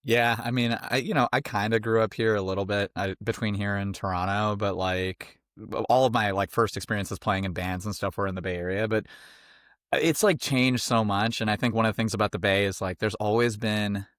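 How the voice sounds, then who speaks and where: Rambling low-voiced male band guest. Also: steady tone, a little fast, a bit bright, no echo, studio quality. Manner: rambling, filler-heavy, laid back. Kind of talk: casual interview chat.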